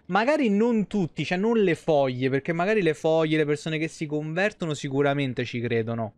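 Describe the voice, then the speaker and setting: A man with a smooth, fairly high voice and a Roman Italian accent. He talks like a game streamer chatting to viewers, rambling in colloquial, sweary speech. The sound is dry and close. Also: a little fast, no echo. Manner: colloquial, swearing, animated digressions. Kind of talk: casual livestream commentary, chatty. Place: small indoor streaming room.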